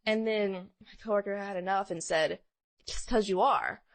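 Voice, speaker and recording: A woman's voice: medium pitch, normal speed. A woman co-hosting a podcast, chatting casually and telling stories informally, with dramatic delivery of quoted lines. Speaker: podcast co-host.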